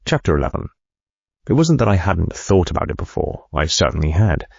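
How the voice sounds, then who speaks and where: An actor playing a driven company CEO narrating his memoir in a reflective, measured way, with a gravelly, husky voice. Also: low voice, sing-song, darkish tone, dry recording, lo-fi sound, heavily acted. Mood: determined, reflective. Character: driven male medical company CEO recounting his life. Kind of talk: first-person memoir narration.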